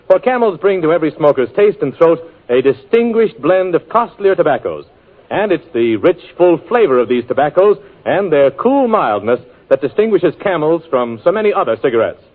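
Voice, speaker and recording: A man announcer reads a 1940s radio commercial in a smooth, polished pitchman manner. His voice is slightly high and moves around a lot. The sound is a thin, phone-like old radio broadcast. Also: sing-song, normal speed.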